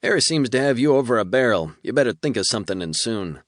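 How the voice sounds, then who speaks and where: A man's voice: medium pitch, animated, fast talker, no room sound, clean studio sound, pitched above the performer's own voice, natural voice. A man voicing a breezy, folksy male demon who runs his business coldly and calls people Hoss.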